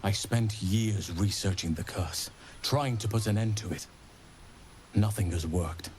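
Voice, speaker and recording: An actor playing an earnest, learned druid burdened by regret, speaking in measured, formal phrases in a fantasy video game. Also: male voice, fairly low pitch, darkish tone, a little hiss or hum, lightly acted. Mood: grave, regretful. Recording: game music behind.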